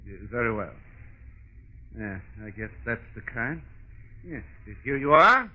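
A man's voice: medium pitch, big pitch swings, measured pace, narrow, tinny audio. An actor playing an easily unhinged male psychiatrist behind a shop counter in an old-time radio comedy. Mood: polite, strained.

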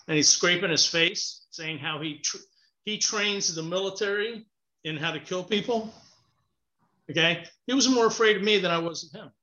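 A man from Cuba tells his stories as a guest author, animated and talking with his hands. His voice sits a little high and rings sharp and forceful. It comes over a remote call that sounds roomy and muffled. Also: moderate pace. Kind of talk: casual storytelling interview. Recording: echoey, muffled call audio.

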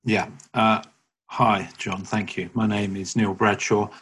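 A middle-aged man from England speaks as a guest on a video call. His voice is low and a little husky, and his measured sentences trail off quietly at the ends. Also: flat delivery. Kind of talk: casual conversation.

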